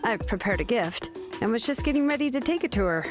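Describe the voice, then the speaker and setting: A woman's voice: fairly high pitch, expressive, quick pace, natural voice. An actress playing an easygoing adult craftswoman in a video game, talking in a laid-back, matter-of-fact way. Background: game music.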